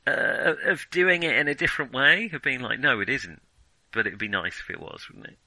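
Bright, forceful man in opinionated film chat. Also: mid-range voice, very animated, normal speed, vocal fry, no room sound, poor audio. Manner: hesitant, self-interrupting, opinionated. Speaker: film discussion podcast host. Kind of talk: casual film criticism chat.

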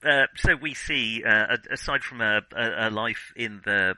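A man with a fairly low but very bright, forceful voice talks casually as a podcast co-host. He thinks aloud in a halting way, stopping to rephrase. The sound is dry and close. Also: dry recording, low-quality recording. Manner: hesitant, self-correcting, thinking aloud. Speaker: film discussion podcast co-host. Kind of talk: casual film discussion.

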